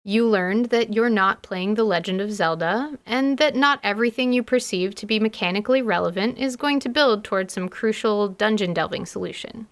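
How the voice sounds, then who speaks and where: A woman's voice: medium pitch, moderate pace, hardly any pauses. A woman hosting as a dungeon master, narrating a scripted podcast in an articulate, slightly wry way.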